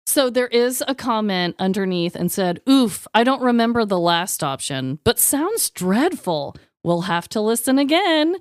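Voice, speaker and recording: Woman podcaster chatting casually, super lively pitch swings, clean dry sound, informal and jokey. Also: unhurried, hardly any pauses, clean tone, no echo. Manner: animated, informal, occasional swearing. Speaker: podcast co-host. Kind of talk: casual comedic chat and storytelling.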